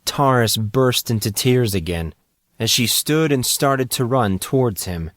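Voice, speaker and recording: A man's voice: medium pitch, expressive, unhurried, no room sound, studio quality. A man narrating a story as a scripted dramatic audiobook reading.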